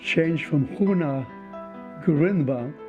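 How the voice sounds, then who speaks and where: A man in his eighties from Poland speaks slowly and carefully, going step by step. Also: on the high side, faint music behind. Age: 80s. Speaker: Holocaust survivor, interviewee. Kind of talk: testimony interview. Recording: tinny phone-like sound.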